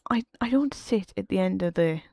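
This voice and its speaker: A young woman with a Geordie accent, chatting quickly and laughing often while streaming a game. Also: mid-range voice, expressive, creaky, darkish tone, dry recording, studio quality. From North East England. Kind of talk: casual chat while gaming.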